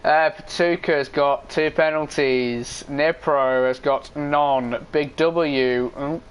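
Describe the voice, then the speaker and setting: A young man commentating an esports race in a casual style, hoarse and losing his voice, clearing his throat often. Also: a little high, steady tone, slow. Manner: casual, frequent throat clearing and filler ums.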